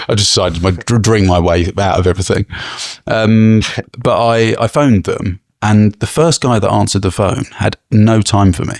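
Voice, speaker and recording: A young man co-hosting a podcast, telling an animated story with frequent laughs and restarts. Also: on the low side, a little slow, no room sound, studio quality. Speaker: podcast co-host. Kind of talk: casual chat, storytelling.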